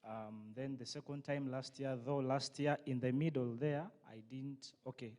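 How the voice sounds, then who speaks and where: A young adult man with a Ugandan accent answers interview questions. He is a journalism student, and his voice has a medium pitch. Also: fairly even intonation, normal speed, a little mellow.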